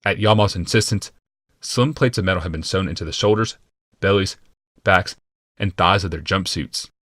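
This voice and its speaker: A man narrating a fantasy audiobook from a script in a measured, deliberate and dramatic manner. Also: low voice, a bit bright, dry recording, clean studio sound.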